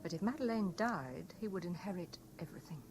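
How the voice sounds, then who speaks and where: Guilt-laden mistress, faintly noisy. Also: female voice, on the low side, animated, a little fast. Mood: subdued confession. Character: anguished mistress confessing a cold murder plot. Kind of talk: 1970s British radio drama acting. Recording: noisy old radio broadcast recording.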